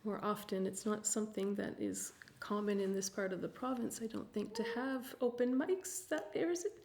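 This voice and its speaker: A woman talking casually and a little haltingly at an open mic, modest in manner. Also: medium pitch, darkish tone, slight background noise. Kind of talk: casual chat.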